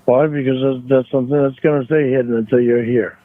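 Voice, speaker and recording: A man on a phone call, arguing defensively in a halting, repetitive way. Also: medium pitch, steady tone. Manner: halting, repeats himself, defensive. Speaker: phone caller. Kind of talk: argumentative private phone call. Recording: tinny, narrow phone line.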